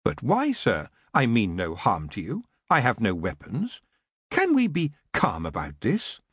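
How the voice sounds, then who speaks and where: A man voicing a calm, courteous young man who speaks formally and politely. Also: medium pitch, a little slow, husky, no room sound, exaggerated voice. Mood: conciliatory.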